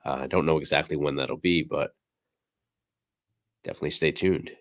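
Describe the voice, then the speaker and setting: A man hosting a casual livestream, slightly hoarse and losing his voice, talking in a relaxed, rambling way with many fillers. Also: extremely low pitch, normal speed, dry recording, clean studio sound. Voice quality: raspy. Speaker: livestream host.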